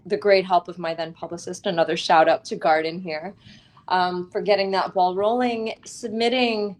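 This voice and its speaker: A woman author speaking as an interview guest, telling her story in an expressive, articulate and reflective way. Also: lowish voice, normal speed.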